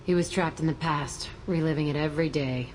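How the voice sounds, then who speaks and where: An actress voicing a tough, volatile young female ex-convict who talks rough and defiant, in a game cutscene. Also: deep voice, steady tone, fairly quick, a little mellow, close to an everyday voice. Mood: gruff. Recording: game audio with faint ambient noise.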